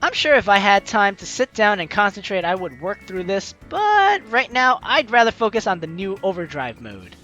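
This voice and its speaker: A woman's voice: mid-range voice, very animated, moderate pace, few pauses, fairly bright tone, lo-fi sound. A woman doing a playful, slangy let's play, reading dialogue aloud and commenting casually. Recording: faint music behind the voice.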